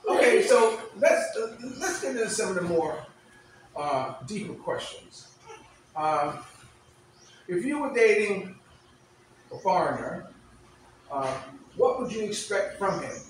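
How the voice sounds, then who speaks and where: A man hosts a playful, teasing interview, often halting on his fillers. His voice sits high for a man. He is recorded from a distance in a very echoey room. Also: sing-song, a little hiss or hum. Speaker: host. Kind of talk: casual mock late-night interview. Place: room with a fan running.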